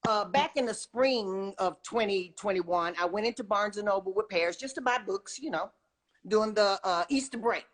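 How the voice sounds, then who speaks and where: A woman's voice: mid-range voice, normal speed. A middle-aged woman talking casually and enthusiastically as an interview guest, emphatic and often checking in with "okay?". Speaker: guest, children's book author and retired teacher.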